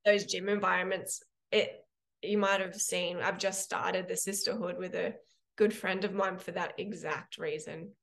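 A woman's voice: on the low side, fairly even intonation, measured pace, gravelly, a bit bright. A young woman who works as a personal trainer and health coach, speaking frankly and reflectively as a podcast guest, telling her stories with some animation. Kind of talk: conversational podcast interview.

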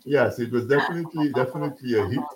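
A man's voice: medium pitch, quick pace, crowd noise. A man hosting a broadcast show, talking in an eager, chatty way and jumping in with questions. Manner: eager, talkative, jumps in with questions. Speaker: show host. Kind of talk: casual interview chat.

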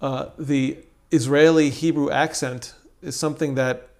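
A man's voice: fairly high pitch, steady tone, a little slow, vocal fry. A man hosting a podcast interview, chatty and upbeat, often laughing along with his guest. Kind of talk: casual interview conversation.

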